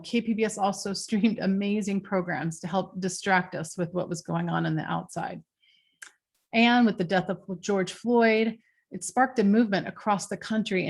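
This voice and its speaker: A woman in her forties speaks from home over a video call, giving a prepared presentation to supporters. Her delivery is steady and earnest, with a few small fillers. Her pitch sits in the middle of the range. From San Diego, California. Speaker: interim general manager of a public media station. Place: home room with window, construction outside.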